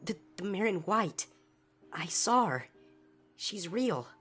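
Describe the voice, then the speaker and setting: A man's voice: high-pitched, very animated, slow pace, bright, forceful tone, breathy edge, faint music behind, pitched above the performer's own voice, exaggerated voice. A man voicing an anxious, injured young female pony student in a story reading. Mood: shaken. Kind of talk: character voice in fan fiction reading.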